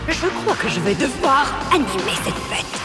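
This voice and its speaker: A woman playing a scheming, melodramatic cartoon villainess who draws out her words, over loud music. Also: sits high, big pitch swings. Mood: gloating. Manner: melodramatic, drawn-out delivery. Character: theatrical scheming villainess out to ruin parties. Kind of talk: cartoon dubbing.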